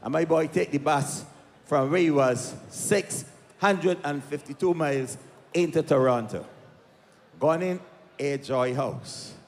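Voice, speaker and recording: Slow-talking Barbadian man on a reverberant stage. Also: on the high side. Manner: unhurried storyteller acting out dialogue with pauses. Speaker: singer entertaining on stage. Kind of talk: comic storytelling and stage banter to a live crowd. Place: outdoor festival stage. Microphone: stage microphone through PA. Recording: live PA with long reverb, music behind.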